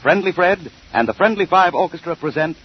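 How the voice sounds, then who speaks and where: A man's voice: sits high, lively intonation, fairly quick. An American man announcing a sponsored 1930s radio program from a script, in a formal, emphatic salesman's delivery on an old radio recording. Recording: muffled, noisy early 1930s radio recording.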